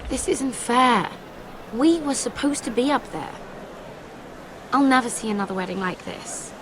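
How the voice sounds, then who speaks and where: Earnest young handmaiden, noisy background. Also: female voice, on the high side, expressive, unhurried. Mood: aggrieved. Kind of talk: dramatic game cutscene acting.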